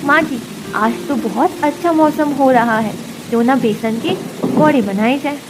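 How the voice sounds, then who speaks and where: An actress voicing a patient, hurt young wife in an animated story, talking emotionally and pleadingly. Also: female voice, high voice, moderate pace, heavy background noise, lightly acted. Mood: polite. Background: background music.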